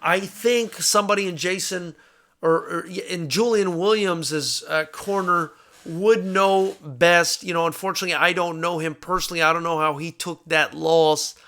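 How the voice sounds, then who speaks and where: A man's voice: fairly high pitch, fairly even intonation, moderate pace, bright, forceful tone. An American man from South Florida hosting a fight talk show, chatting casually with viewers in a conversational, brotherly way. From South Florida, United States. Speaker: combat sports show host and fight promoter. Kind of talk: casual live fight commentary, answering viewer comments.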